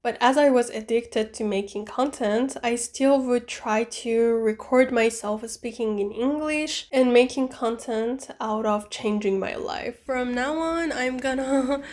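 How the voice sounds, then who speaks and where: A young woman whose first language is Persian tells the story of her own vlog in English. Her voice sits a little high, and she speaks in an earnest, chatty way, sometimes stopping to correct a word. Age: young adult. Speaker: vlogger. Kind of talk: reflective vlog narration with old clip inserts.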